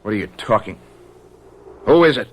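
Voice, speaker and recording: Cocky young male tough, actor, slangy, easily rattled, radio play with clear background noise. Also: lowish voice, expressive, moderate pace, natural voice. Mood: alarmed. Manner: brash, slangy. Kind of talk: old-time radio drama acting.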